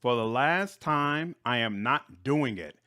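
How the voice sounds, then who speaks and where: A man's voice: medium pitch, animated, takes it slowly, sharp tone, faint music behind. Female fantasy game warrior, voiced by a man: exasperated, dry, grudging, unimpressed.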